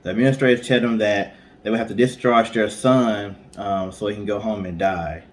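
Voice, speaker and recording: Low-voiced man telling a story, noisy background. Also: fairly even intonation, normal speed. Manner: conversational, informal asides. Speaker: co-host. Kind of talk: casual storytelling.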